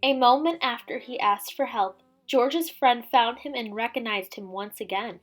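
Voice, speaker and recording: A woman reading a children's story aloud as a teacher would, with dramatic, expressive delivery. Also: a little high, moderate pace. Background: background music.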